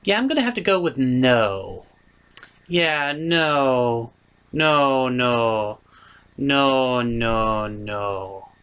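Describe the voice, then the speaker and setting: A woman's voice: very deep voice, expressive, very slow, a bit bright, clear, resonant tone, pitched below the performer's own voice, full character voice. A woman voicing a regal, stern sea pony queen in a puppet show, haughty and firm. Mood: firm refusal.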